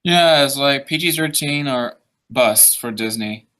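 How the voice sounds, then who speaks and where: A man's voice: medium pitch, a little slow, bright, forceful tone. A young man hosting a stream, chatting casually in a joking, laid-back way. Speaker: stream host. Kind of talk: casual chat over a call.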